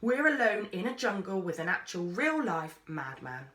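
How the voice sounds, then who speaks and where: A woman voicing an indignant, sceptical girl in a storybook with emphatic, deadpan protests. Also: mid-range voice, normal speed. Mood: alarmed. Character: sceptical, indignant, sharp-tongued girl Con. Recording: roomy, echoey sound.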